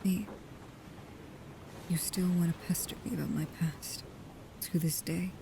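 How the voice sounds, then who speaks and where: A woman playing a devoted, proud and guarded vampire protector in an intimate ASMR roleplay, speaking softly and haltingly, often dropping into a whisper. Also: fairly low pitch, steady tone. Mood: tender, guarded. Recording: clear background noise with ambience.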